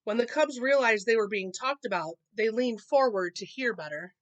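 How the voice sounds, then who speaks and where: A woman reading a picture book aloud to children, expressive, with casual explanatory asides. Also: a little high, normal speed, lo-fi sound. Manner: expressive, explaining to young listeners.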